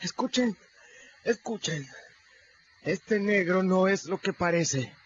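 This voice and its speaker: A man's voice: high voice, slow pace, slight background noise. An actor playing a boastful, adventurous teenage boy with a dramatic, showy way of telling things, in a radio drama. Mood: urgent. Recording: muffled radio play with music.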